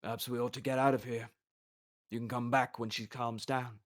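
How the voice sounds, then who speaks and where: Reader voicing a terse male monster hunter; low, quiet, clipped. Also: little pitch movement, normal speed, lightly acted. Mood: dry. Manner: terse, subdued.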